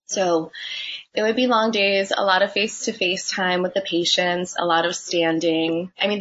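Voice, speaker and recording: Husky, gravelly-voiced young woman budtender. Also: fairly low pitch. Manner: relaxed, chatty, many fillers. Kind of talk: casual interview answers. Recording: dull lo-fi sound.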